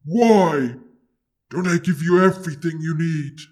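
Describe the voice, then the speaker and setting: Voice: mid-range voice, a little slow, darkish tone, close to an everyday voice. A narrator voicing a huge, proud, possessive dragon in an audiobook story, with imperious, stilted formal speech. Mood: offended, skeptical.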